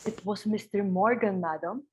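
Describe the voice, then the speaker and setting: A woman playing a deferential, composed household servant, formal and measured, in a dramatic reading of a stage comedy. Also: medium pitch, slightly rough, natural voice. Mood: dutiful.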